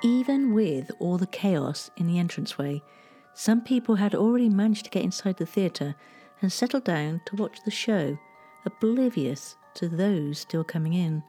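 A woman giving scripted history narration in a measured, gently storytelling way. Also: lowish voice.

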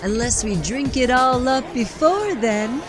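Plucky young working girl, animated. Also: fairly high pitch, a little slow, over music. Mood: playful. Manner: cheerful, wry, confident. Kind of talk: period radio drama dialogue.

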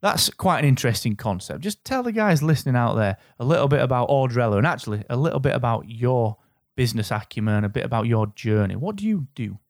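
A man's voice: medium pitch, expressive, fast talker, darkish tone, no room sound, studio quality. A man hosting a podcast, encouraging his guest in an upbeat way. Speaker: podcast host. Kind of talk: podcast interview.

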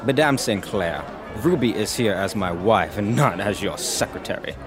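A man's voice: lowish voice, lively intonation, moderate pace, over music, close to an everyday voice. A man playing a doting, firm husband in a 1920s audio drama, formal and reassuring in manner. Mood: firm, indignant.

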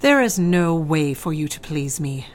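A woman's voice: sits low, animated, measured pace. A woman playing a cold, disapproving older society mother in an audio drama, haughty and clipped in manner. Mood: scornful.

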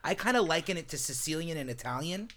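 Male host, voice a bit high, eager explainer, casual talk-show chat. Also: lively intonation, fast, fairly bright tone, slight background noise. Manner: explains history, interjects eagerly.

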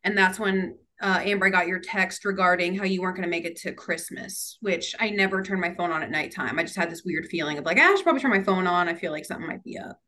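Young woman guest, bright and chatty, heartfelt and loose, lots of "um"s. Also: on the low side, quick pace, hardly any pauses. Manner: chatty, emotional, with laughs and fillers. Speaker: podcast guest, twin. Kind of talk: casual chat. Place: San Diego.

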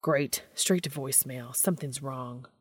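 A woman's voice: rumbling low, unhurried, slightly rough, no echo, studio quality, heavily acted. A woman reading the part of an irritable, easily flustered male hunter in an audio story, with blunt, grousing delivery. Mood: exasperated.

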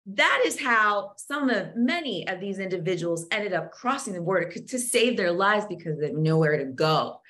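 A woman's voice: mid-range voice, big pitch swings, moderate pace, hardly any pauses, a bit bright. A woman from Zambia, a podcast guest talking with passion and many restarts, verbose and earnest. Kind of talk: conversational interview.

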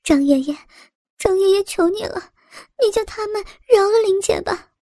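A woman's voice: very high-pitched, moderate pace, dark tone, dry recording, clean studio sound, voice pushed up. A woman voicing a desperate young woman who cries and begs for mercy in a storybook reading. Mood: tearful pleading. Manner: crying, begging.

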